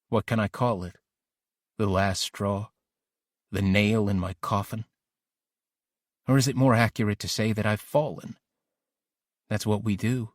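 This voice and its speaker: A man's voice: lowish voice, dry recording, clean studio sound, natural voice. A man narrating an audiobook diary as an ambitious, lovesick teenage boy, introspective and intense. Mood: lovesick, searching. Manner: introspective, self-questioning, intense.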